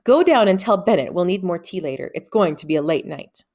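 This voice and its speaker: A woman's voice: fairly low pitch, lively intonation, fairly quick, darkish tone. A woman voicing a curt, commanding old male wizard master in a storybook reading. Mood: stern.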